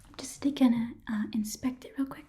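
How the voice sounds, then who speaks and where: A woman voicing an eager, folksy goblin pawn shop keeper in a soft whispered ASMR roleplay, murmuring haltingly to a customer. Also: fairly high pitch, fairly even intonation, close to an everyday voice. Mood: eager, friendly. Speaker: ASMR performer playing a shopkeeper.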